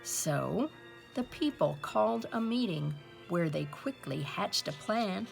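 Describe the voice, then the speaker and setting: A woman reading a rhyming picture book aloud as an expressive storyteller. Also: medium pitch, slow, gravelly, faint music behind.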